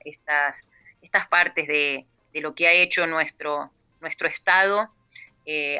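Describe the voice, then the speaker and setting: A woman's voice: low voice, pushed and bright, smooth, lo-fi sound. A woman historian, speaking thoughtfully in a radio interview with many restarts. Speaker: local historian.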